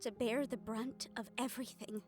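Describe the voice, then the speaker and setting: A woman playing a guarded, protective young fiancée in an intimate audio roleplay drama, speaking earnestly and emphatically with deliberate pauses. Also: on the high side, fairly even intonation, soft background music, close to an everyday voice. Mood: regretful.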